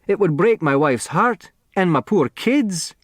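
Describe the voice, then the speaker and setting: A man's voice: sits high, animated, measured pace, no echo, studio quality, pitched above the performer's own voice. A man voicing a desperate, folksy working-class doorman in a storybook reading, begging in informal speech. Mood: desperate pleading.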